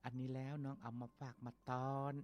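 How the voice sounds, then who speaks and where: Man putting on a soft-pleading young princess. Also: sits low, expressive, moderate pace, voice pushed up. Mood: tender. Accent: Isan. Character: lovesick young village princess, gentle.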